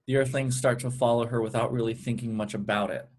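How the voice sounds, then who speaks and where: A man's voice: mid-range voice, steady tone, fast talker, a little mellow. A man with a mid-range voice serves as narrator at a script table read. He reads out stage directions as scripted narration.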